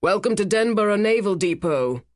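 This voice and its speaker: A woman voicing a big, cheerful naval commander who talks loudly and jokes wryly, in a dry close recording. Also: low-pitched, animated, normal speed, dry recording. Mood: welcoming. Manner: loud, hearty and wry.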